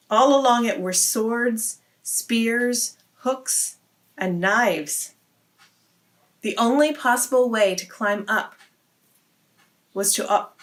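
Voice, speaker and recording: A woman's voice: medium pitch, a bit bright. A woman hosting a read-aloud, narrating a story for children.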